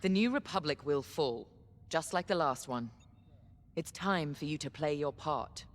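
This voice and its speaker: Low-voiced, stern military commander. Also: female voice, animated, unhurried. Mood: stern, resolute. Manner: formal, authoritative, crisp orders. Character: stern, commanding female Imperial military officer, loyal and severe. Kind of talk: video game briefing, dramatic acting.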